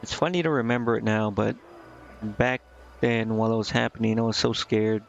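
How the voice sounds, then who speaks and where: Man reading as a creaky-voiced brash tiefling merchant. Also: fairly low pitch, steady tone, brisk, muffled recording, faint music behind. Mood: matter-of-fact, reminiscing. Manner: plain reading of scripted dialogue with occasional stumbles. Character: gruff one-eyed male tiefling merchant, brash and shrewd.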